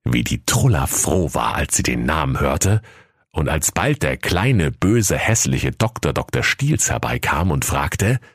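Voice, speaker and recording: Really deep guy reading a fairy tale, mock-solemn, dry close sound. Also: sing-song, measured pace, slightly rough, dry recording. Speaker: storyteller. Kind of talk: scripted fairy tale narration.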